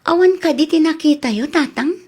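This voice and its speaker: A woman's voice: sits high, a little fast, darkish tone, lightly acted. An actress playing a hard-working, slightly peevish young woman with an Ilocano accent in a radio comedy drama. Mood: puzzled. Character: hard-working young daughter, touchy about her things.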